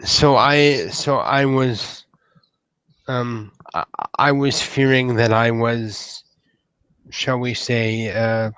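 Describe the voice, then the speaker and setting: Roleplay troll, young would-be knight, male player. Hesitant, formal, halting delivery, extremely unhurried, clean resonant voice. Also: mid-range voice, fairly bright tone, clear, resonant tone, no echo, rough recording. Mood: hesitant, sheepish. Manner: halting, stammering, formal wording. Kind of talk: live roleplay improvisation.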